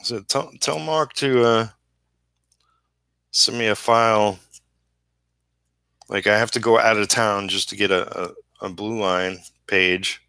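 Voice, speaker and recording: Slow-talking man, bright forceful voice, halting. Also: lowish voice, fairly even intonation, gravelly, no room sound. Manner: halting, trailing off mid-thought. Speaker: comic book inker live streaming. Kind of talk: casual rambling livestream chat while drawing.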